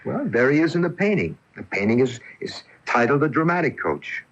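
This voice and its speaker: An actor playing a reassuring, lovestruck boyfriend in a radio drama, coaxing and expressive. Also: male voice, medium pitch, brisk. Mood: reasoning, reassuring. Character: attentive, reassuring boyfriend in love, adult man. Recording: muffled AM radio broadcast recording.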